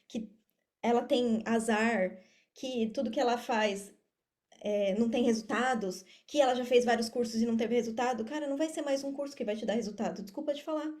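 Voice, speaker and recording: A woman's voice: a little high, fairly quick, darkish tone. A Brazilian woman in her thirties speaking Portuguese with a Brazilian accent, explaining things informally as an interview guest, with emphatic repetition. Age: 30s. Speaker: podcast guest, affiliate marketer and mentor. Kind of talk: conversational interview answers.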